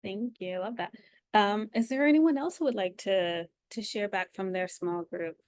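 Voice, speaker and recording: A woman's voice: medium pitch, normal speed, darkish tone. A woman facilitating a video-call discussion, thanking speakers and inviting others to share.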